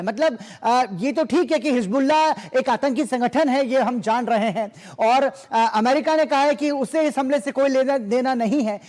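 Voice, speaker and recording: A man's voice: very high voice, a little mellow, in a large hall. A man anchoring a live news interview, asking formal, long-winded questions.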